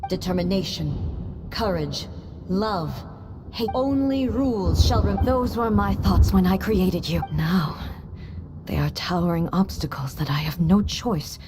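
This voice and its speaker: A woman's voice: fairly low pitch, measured pace, background noise, close to an everyday voice. A woman voicing a stern, imperious warrior goddess in a video game, with formal, solemn speeches and barked battle cries. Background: loud game music.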